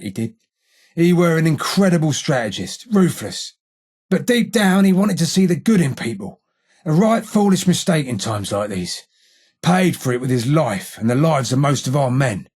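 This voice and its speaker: A gruff old blind ghoul from a video game, speaking in an English accent. The voice is husky and rough, set a little high for a male. The delivery is blunt and sardonic, full of salty slang, as befits a seasoned gang right-hand man. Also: a little mellow. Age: older. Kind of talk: video game character dialogue.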